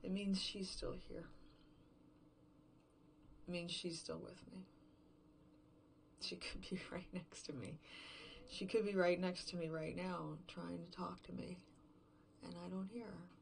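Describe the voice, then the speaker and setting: Horror podcast lead: actress playing an unraveling woman alone in an empty world, slightly low register, halting and sweary confessional, light background noise. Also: steady tone, gravelly. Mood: bleak resignation. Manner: rambling, halting, self-questioning, profane. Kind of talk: serial horror fiction podcast monologue, confessional.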